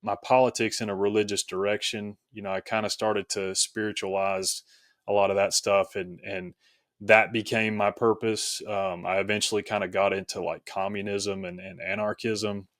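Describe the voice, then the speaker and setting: A man from rural Tennessee speaking as an interview guest, explaining things reflectively in a halting, conversational way. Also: fairly low pitch, little pitch movement, fairly bright tone, no room sound, studio quality. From rural Tennessee, United States. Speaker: podcast guest, author.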